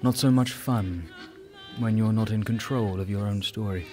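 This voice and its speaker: A man's voice: lowish voice, moderate pace, over music, close to an everyday voice. An actor playing an enigmatic, all-knowing man in a radio play, teasing and mocking in tone. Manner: sly, teasing, knowing, with soft laughs. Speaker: mysterious visitor.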